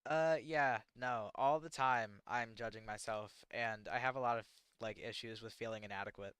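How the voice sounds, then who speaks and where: A man's voice: medium pitch, normal speed, fairly bright tone, clear, resonant tone, no echo. A teenage American man chatting casually on a livestream, rambling and self-deprecating with lots of fillers. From United States. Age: teens. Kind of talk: casual livestream chat answering chat questions.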